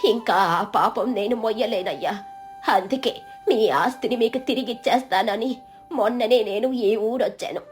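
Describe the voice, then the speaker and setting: A woman voicing a remorseful old aunt who speaks slowly and earnestly in a moral story. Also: a little high, faint music behind, natural voice. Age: older.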